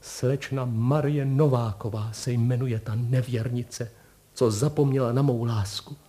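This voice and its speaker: A man's voice: mid-range voice, steady tone, warm tone, lightly acted. A lovesick storybook chauffeur, acted by a male reader. His speech is plaintive and full of sighing. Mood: heartbroken.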